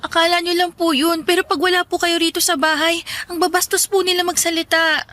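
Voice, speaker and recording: A woman's voice: very high-pitched, level tone, fairly quick, fairly bright tone. A woman playing a hurt young daughter who protests politely and pleadingly in a Filipino radio drama. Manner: hesitant, respectful, pleading.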